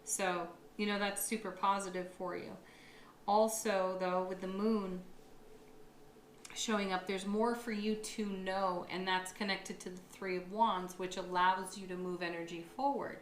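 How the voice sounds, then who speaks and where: A woman's voice: medium pitch, steady tone, a little slow, slight background noise. Upbeat woman giving a tarot reading. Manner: upbeat and affirming, with thoughtful asides. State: slightly froggy, tired voice. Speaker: tarot reader. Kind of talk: monthly astrology tarot reading. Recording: echoey room with faint ambience.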